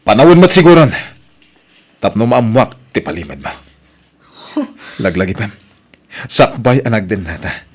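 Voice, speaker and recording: A man's voice: on the low side, very animated, unhurried, long pauses. A man acting the part of an emotional, pleading husband and father in an Ilocano radio drama, with an Ilocano accent. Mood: hurt, angry. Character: weary, wronged Ilocano husband and worried father.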